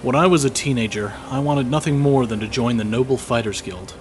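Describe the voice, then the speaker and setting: Fantasy drama conscript, young man male actor: medium pitch, guilt-worn, reflective diary delivery, prominent musical score behind. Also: a little fast, soft background music, natural voice. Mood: wistful. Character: weary, guilt-ridden young male imperial conscript soldier. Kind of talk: scripted fantasy audio drama journal narration.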